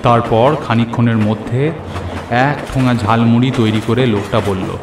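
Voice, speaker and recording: A man with a mid-pitched voice tells a first-person cartoon tale expressively. His narration has a roomy echo and sits over clear train and ambient noise. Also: normal speed. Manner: expressive storytelling with acted dialogue. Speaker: story narrator. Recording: echoey, with noisy train and storm ambience.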